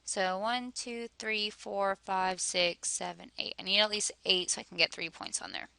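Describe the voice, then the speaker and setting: A woman teaching a math lesson, working through each step aloud, her voice a little hoarse. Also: medium pitch, fairly even intonation, normal speed, bright, forceful tone, dry recording. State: slightly hoarse, losing her voice.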